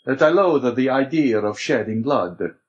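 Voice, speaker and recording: Elderly learned abbé, storybook prisoner played by a man: raised pitch, principled, gentle, exclaims with formal earnestness. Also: animated, a little slow, highs cut off, close to an everyday voice. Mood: firm refusal.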